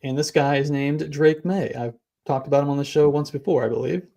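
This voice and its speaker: Brisk man rattling off football stats. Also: on the high side, fairly even intonation, creaky, mellow. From New England. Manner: brisk, stat-heavy delivery. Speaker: fantasy football podcast host. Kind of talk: casual sports analysis chat.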